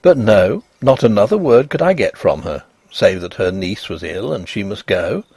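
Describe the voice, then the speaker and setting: Expressive male storyteller with gravelly creak. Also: fairly low pitch, normal speed, no room sound. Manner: expressive, literary delivery. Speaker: story narrator. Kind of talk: scripted narration of a Victorian ghost story.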